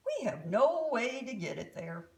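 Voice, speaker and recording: A woman's voice: a little high, big pitch swings, unhurried, a little mellow, echoey room, recorded from a distance. A woman voicing a polite, matter-of-fact, apologetic mailman in a children's storybook.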